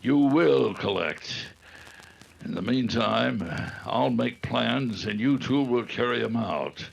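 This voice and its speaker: Slow, gravelly scheming gang boss played by a man. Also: mid-range voice, expressive, lo-fi sound, heavily acted. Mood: scheming, commanding. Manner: halting, deliberate delivery. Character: scheming, boastful, vengeful gang leader posing as grandpa. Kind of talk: old-time radio adventure acting.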